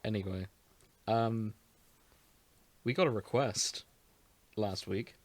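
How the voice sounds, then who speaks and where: A man's voice: lowish voice, slow pace, dry recording. A British man hosting a podcast in casual, jokey chat with his co-hosts, sometimes with theatrical emphasis. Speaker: podcast host.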